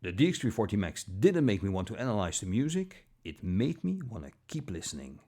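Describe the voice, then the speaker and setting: A man reading a scripted product review in a measured, deliberate manner, with short pauses between phrases. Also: lowish voice, big pitch swings, mellow. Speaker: audio gear reviewer.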